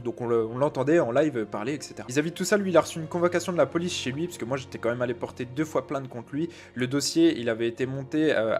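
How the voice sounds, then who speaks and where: A young man from France tells his story quickly and casually. His speech is loose and full of fillers, with faint music playing under it. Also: medium pitch. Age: 20s. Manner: casual, frequent "euh" and "bah" fillers. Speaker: YouTuber. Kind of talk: personal storytelling vlog.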